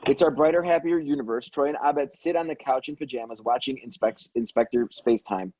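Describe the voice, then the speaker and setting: Casting: male stage-directions narrator, relaxed dark tone, dry and slightly lo-fi. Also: mid-range voice, a little fast, dry recording. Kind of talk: scripted narration at a table read.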